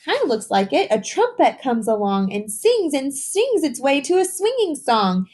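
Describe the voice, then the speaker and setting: Animated woman reading aloud to young children. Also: high-pitched. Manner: enthusiastic, encouraging; expressive, drawn-out reading with simple questions to listeners. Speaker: teacher reading a picture book aloud. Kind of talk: read-aloud lesson addressed to young children.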